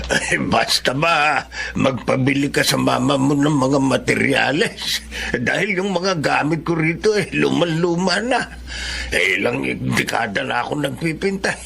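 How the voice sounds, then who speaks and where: Cheerful old Filipino grandfather painter; very gravelly, forceful, folksy radio drama delivery. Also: mid-range voice, full character voice. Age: older. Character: cheerful elderly grandfather, a poor veteran painter.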